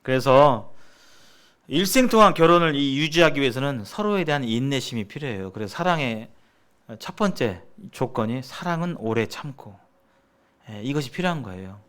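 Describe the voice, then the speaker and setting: A man's voice: medium pitch, animated, moderate pace, a bit bright, smooth. Middle-aged man preaching, explaining step by step. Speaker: church seminar preacher. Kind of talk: sermon-like marriage seminar teaching.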